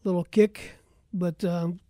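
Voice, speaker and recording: A man's voice: high voice, fairly even intonation, takes it slowly, dark tone, no room sound, studio quality. A man with an American accent hosting a sports talk show on the radio in a casual, conversational style. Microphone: close studio broadcast mic.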